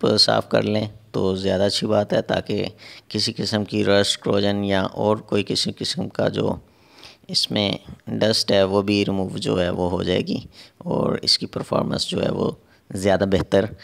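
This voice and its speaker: A man's voice: fairly low pitch, fairly even intonation, unhurried, gravelly. A man narrating a step-by-step repair tutorial as an instructor, in a methodical way with repetitive phrasing.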